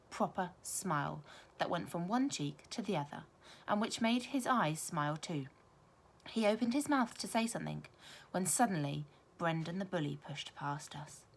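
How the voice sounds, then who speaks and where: A woman reads a children's storybook aloud expressively, like a primary teacher, with careful emphasis and dramatic pauses. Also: fairly low pitch, creaky, husky.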